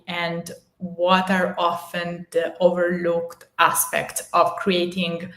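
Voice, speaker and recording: A woman hosts an interview in a slow, unhurried voice, a little low-pitched. She pauses with ums between her phrases. Her voice rings in an echoey room. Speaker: host. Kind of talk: hosting a live audio interview.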